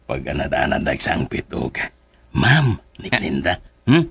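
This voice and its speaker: A man acting a boastful, lovestruck suitor in a radio drama, with a rough husky voice and exaggerated comic delivery. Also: extremely low pitch, very animated, measured pace, a bit bright, full character voice. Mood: boastful, smitten. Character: boastful, lovestruck man courting a woman, teasing his pal.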